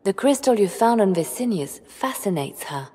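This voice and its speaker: A woman playing a composed, calculating spymaster in a video game, speaking in a measured, formal and precise way. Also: medium pitch, sing-song, mellow, husky. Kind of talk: scripted video game dialogue. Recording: long hall reverb.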